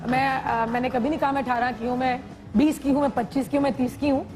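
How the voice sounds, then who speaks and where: A woman's voice: high-pitched, fairly even intonation, fast talker, darkish tone, faint music behind. A woman guest on a talk show, coy and playful in her answers.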